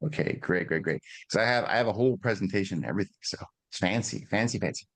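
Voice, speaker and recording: A man's voice: deep voice, fast talker, fairly bright tone. A middle-aged man giving an informal webinar presentation on a video call, explaining in a conversational teaching manner, repeating words and checking in with listeners. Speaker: guest presenter at a business webinar.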